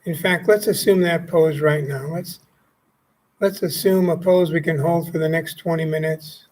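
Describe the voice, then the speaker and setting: A man leading a guided meditation as a teacher, speaking calmly and gently in short phrases with pauses. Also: a little high, slight background noise. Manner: calm, gently instructive, pausing between short phrases.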